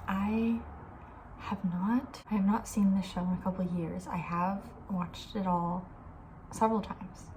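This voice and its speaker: Soft-spoken, mellow ASMR woman, often whispery. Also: mid-range voice, slight background noise, low-quality recording. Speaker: ASMR video creator, host.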